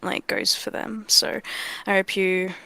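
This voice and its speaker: A woman's voice: mid-range voice, level tone, a little slow, creaky, pushed and bright, smooth. A woman talking casually on a livestream as a creator and director, earnest, rambling and freely swearing. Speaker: audio drama creator and director.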